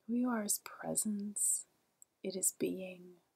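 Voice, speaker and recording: Soft, breathy woman guiding meditation. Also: mid-range voice. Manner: calm, gentle, soothing, unhurried with long pauses. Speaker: guided meditation teacher. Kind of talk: guided meditation narration.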